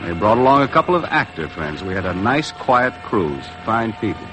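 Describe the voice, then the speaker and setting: An American actor playing a tough, blunt, world-weary charter boat skipper in 1950s radio drama style, terse and hard-boiled. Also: male voice, lowish voice, big pitch swings, moderate pace. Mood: firm, blunt. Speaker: lead actor and narrator of a radio adventure. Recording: noisy vintage 1950s radio recording.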